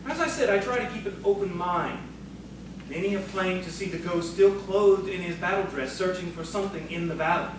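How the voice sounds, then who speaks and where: Guy acting a calm scholarly storyteller man, bit high pitched, knowing and measured, noisy radio play recording. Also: natural voice. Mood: grave. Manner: measured, knowing, gravely cautioning. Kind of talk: audio theatre acting. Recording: very echoey, distant, noisy room.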